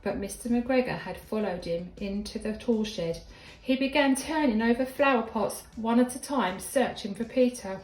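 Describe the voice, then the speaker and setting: Woman reading a children's story, echoey. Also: on the high side. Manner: deliberate, emphatic storytelling with dramatic emphasis. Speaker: primary school teacher reading a story. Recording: echoey room with background music.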